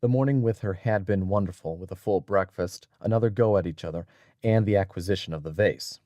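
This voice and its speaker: A man's voice: deep voice, fairly quick, warm tone, no room sound, clean studio sound. A man narrating a dramatic audiobook from a script as a storyteller.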